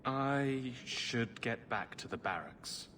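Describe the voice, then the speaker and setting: A man's voice: medium pitch, expressive, slow pace, a bit bright, a little hiss or hum. An actor playing a bewildered but polite male city guardsman, formal and deferential, in a fantasy video game. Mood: confused, uneasy. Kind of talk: cinematic game dialogue acting.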